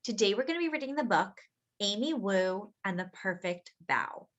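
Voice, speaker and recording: A woman's voice: medium pitch, animated, normal speed, fairly bright tone. A woman hosting story time, reading a picture book expressively for young children, stretching key words and adding simple explanatory asides.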